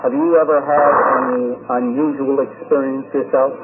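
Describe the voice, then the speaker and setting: A man's voice: mid-range voice, level tone, moderate pace, narrow, tinny audio. Male radio interviewer, thin noisy vintage recording. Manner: probing, insistent questioning. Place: busy convention, reporting on location. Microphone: shared interview microphone.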